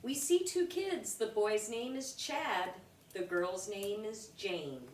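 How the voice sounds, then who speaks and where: Slow, very animated woman reading a children's story. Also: medium pitch. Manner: animated, deliberate phrasing for young children. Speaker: storybook reader. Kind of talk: expressive read-aloud of a rhyming children's picture book. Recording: roomy, distant mic with background noise.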